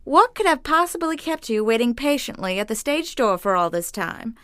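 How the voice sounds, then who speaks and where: A woman's voice: on the high side, animated, normal speed, a bit bright, clean tone, no room sound. A woman acting in an audio drama as a skeptical, wisecracking older sister, sarcastic and blunt.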